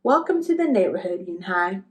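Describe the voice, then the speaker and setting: A woman's voice: mid-range voice, big pitch swings, normal speed, lightly acted. A woman reading as a kindly male neighborhood shopkeeper in a storybook, friendly in manner. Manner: friendly, complimentary.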